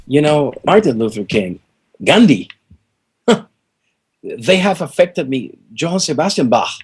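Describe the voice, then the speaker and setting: A man's voice: on the high side, big pitch swings, slow pace, husky. A middle-aged man from Venezuela, a guest musician answering interview questions in an earnest, emphatic way, repeating words for stress.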